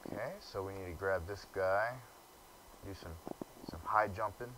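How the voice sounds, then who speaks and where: Deep-voiced man grumbling through a game. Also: moderate pace, pushed and bright, a little hiss or hum, poor audio. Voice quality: low, deep voice. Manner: casual, grumbling, stumbles reading quest text aloud. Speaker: gamer. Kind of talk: let's play commentary.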